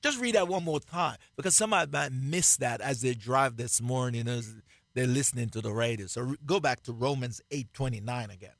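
A man with a Jamaican accent, preaching on a radio broadcast in a slow, emphatic way and repeating key phrases. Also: mid-range voice, fairly bright tone, no echo, clean studio sound. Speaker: pastor. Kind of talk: radio sermon, co-hosted Bible teaching.

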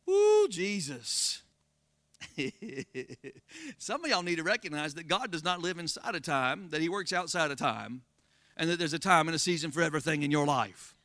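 A pastor with a Southern Florida accent preaching a sermon, folksy and calling out to the congregation for responses. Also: male voice, a little high, sharp tone, no room sound, clean studio sound. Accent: Floridian Southern American. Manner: call-and-response, folksy, rising to emphatic exclamations.

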